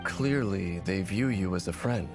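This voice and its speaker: A man's voice: sits low, measured pace. An actor playing a brooding, reserved young man in a video game, speaking in a grave, terse and formal manner. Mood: reflective. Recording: game music behind the voice.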